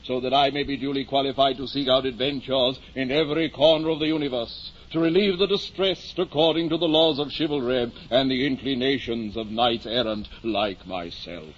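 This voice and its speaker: An actor playing a delusional, courtly gentleman knight-errant in pompous, florid old-time radio style. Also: mid-range voice, moderate pace, few pauses, close to an everyday voice. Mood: pleading, ardent. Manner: pompous, florid, theatrical declamation. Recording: muffled, noisy 1950 radio recording.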